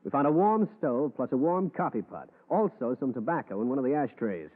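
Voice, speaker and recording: Figure: a wisecracking hardboiled detective. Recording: thin phone-like vintage broadcast. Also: male voice, mid-range voice, very animated, fairly quick. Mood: dry. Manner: dry, wisecracking. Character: hardboiled male private detective. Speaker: narrator. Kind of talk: 1940s radio acting. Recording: tinny old radio broadcast.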